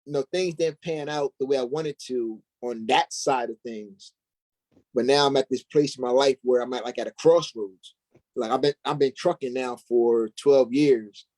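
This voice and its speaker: A man's voice: mid-range voice, normal speed, a little mellow, rough recording. A middle-aged man being interviewed as an actor, telling personal stories in an informal, slangy way. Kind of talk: casual personal storytelling.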